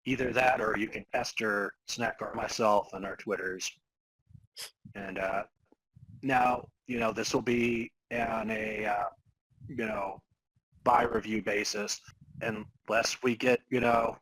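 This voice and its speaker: A man's voice: on the low side, fairly even intonation, unhurried, fairly bright tone, slightly rough, dry recording, rough recording. A man co-hosting a podcast in casual, bantering conversation. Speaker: podcast co-host.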